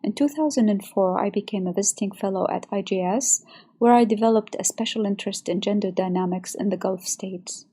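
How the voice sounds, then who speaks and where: Woman from Saudi Arabia, researcher, scripted personal narration, soft dark tone with some huskiness. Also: medium pitch, fairly quick, slightly rough. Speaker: researcher and women's rights activist.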